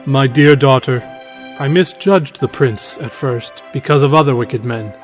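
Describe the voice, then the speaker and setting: A man voicing a regretful, loving merman king, a grave and tender father in a children's animated fairy tale. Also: medium pitch, unhurried, faint music behind, lightly acted.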